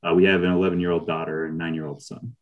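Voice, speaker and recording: A middle-aged man chatting casually and a little haltingly as a guest on a video call. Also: low voice, little pitch movement, dull audio. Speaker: writer, interview guest. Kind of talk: casual interview chat. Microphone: video call microphone.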